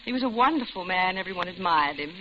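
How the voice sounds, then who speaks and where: A woman's voice: fairly low pitch, lively intonation, fast, natural voice. A muffled, noisy vintage radio recording of an actress as an older widow. She is genteel and talkative, coaxing with an uneasy edge, in a 1940s drama manner. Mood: concerned, wistful. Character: chatty, uneasy older widow, diner customer.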